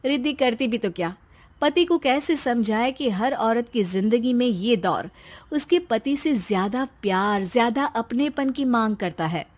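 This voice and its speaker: A woman's voice: on the high side, animated, measured pace. Woman, story narrator, scripted delivery.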